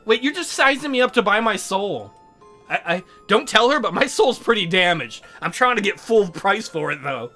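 Male let's player, crude and jokey, rambling casual commentary, animated pitch, music underneath. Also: normal speed. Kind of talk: casual joking game commentary.

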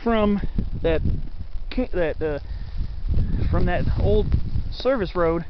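Man, high voice, rambling hike commentary, thinking aloud, outdoors, very noisy handheld camera sound. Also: slow. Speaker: hiker narrating his own hike. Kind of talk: casual unscripted trail commentary. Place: outdoors on a forested mountain slope. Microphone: handheld camera mic. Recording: muffled camera audio, loud wind noise.

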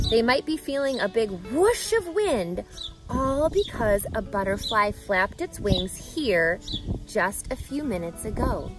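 A woman in her thirties reading a children's book aloud outdoors, with an expressive, teacherly delivery that emphasises key words. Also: fairly high pitch. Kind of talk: animated children's picture-book read-aloud. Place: outdoors, grassy lakeside yard. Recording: outdoor ambient background noise.

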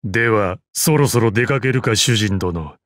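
An actor playing a proud, battle-hardened old martial arts master in a game, with gruff dignity, dry amusement and archaic old-man speech. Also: fairly low pitch, measured pace, a bit bright, dry recording. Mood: wry, fond. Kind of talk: Japanese mobile game character voice lines.

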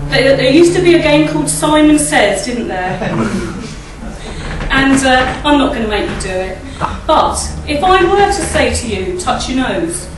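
Middle-aged woman, croaky, leading a chatty church talk at a lectern mic; distant, echoey hall with noise behind. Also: on the high side. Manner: chatty, asks the audience tag questions and laughs often. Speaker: church speaker. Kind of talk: informal talk at a church service. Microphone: standing mic at a lectern, filmed by a far camera.

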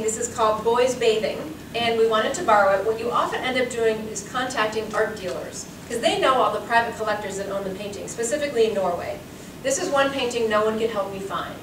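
Woman curator, chatty storyteller, slightly high voice, echoey seminar room, distant mic with hiss. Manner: chatty storyteller, laughs often. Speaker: museum curator giving a talk. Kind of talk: lecture with anecdotes. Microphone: room mic from far off.

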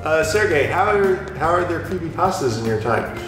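A man's voice: a little high, expressive, normal speed. A young man co-hosting a let's play, joking casually over the game. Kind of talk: joking gameplay chat, reading dialogue aloud. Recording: very echoey, distant mic, loud game music.

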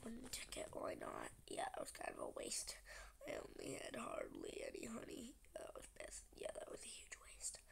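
A young gamer with a stuffy, congested nose, chatting casually and often half-whispering while playing a game. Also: female voice, extremely low pitch, wildly swooping pitch, moderate pace, gravelly. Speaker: gaming channel host. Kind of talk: casual gameplay commentary.

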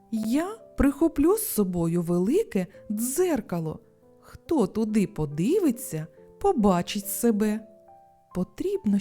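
Woman as a kind, wise crocodile, very lively intonation. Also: mid-range voice. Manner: encouraging, explanatory. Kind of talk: children's storybook character voice.

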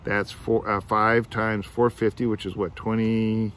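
Rambling middle-aged teacher outdoors. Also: male voice, fairly low pitch, little pitch movement, measured pace, fairly bright tone, slight background noise. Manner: rambling, restarts, thinks aloud. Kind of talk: explaining an assignment. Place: outdoors among trees. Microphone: phone camera at arm's length.

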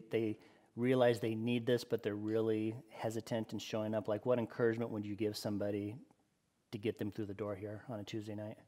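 A man's voice: fairly low pitch, mellow, big-room sound. A middle-aged man hosting casual church announcements and interviews in an informal, conversational way. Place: indoors, church stage.

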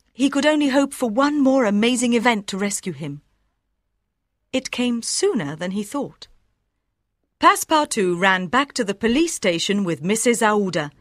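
A woman reading scripted story narration for learners in a measured, clearly enunciated way. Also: mid-range voice, fairly bright tone, dry recording, studio quality. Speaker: audiobook narrator.

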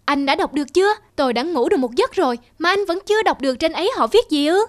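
A woman voicing a naive, anxious barn rat in an animated fable, polite and eager. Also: high-pitched, quick pace, slight background noise. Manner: eager, deferential. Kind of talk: animated fable character acting.

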